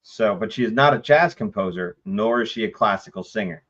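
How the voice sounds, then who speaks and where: Goofy man with a lively voice. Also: fairly quick. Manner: goofy, self-mocking, jumps to high squeals. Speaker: podcast co-host. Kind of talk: comedic podcast chat. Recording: dull lo-fi podcast audio.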